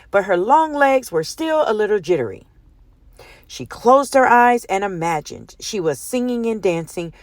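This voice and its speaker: A woman reading a children's picture book aloud in an expressive storytime style. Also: mid-range voice, no echo. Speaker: storytime reader.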